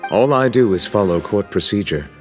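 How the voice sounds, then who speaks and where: A man playing a stern, composed chief justice in a video game, speaking in a formal, measured, courteous way. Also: on the low side, animated, faint music behind, lightly acted. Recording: long hall reverb.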